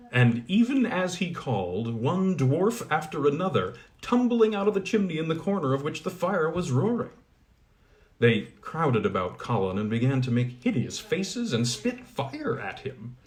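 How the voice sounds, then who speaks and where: A man narrates an old fairy tale aloud as a storyteller. His mid-range voice rises and falls with animation, giving the reading an expressive, lively flow. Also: medium pitch. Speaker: audiobook reader.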